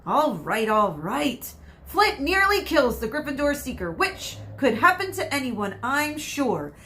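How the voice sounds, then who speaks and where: A woman voicing an excitable, biased schoolboy commentator, emphatic and sardonic, in a read-aloud story. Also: high-pitched, a little slow, pitched above the performer's own voice, exaggerated voice. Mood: sardonic, excited.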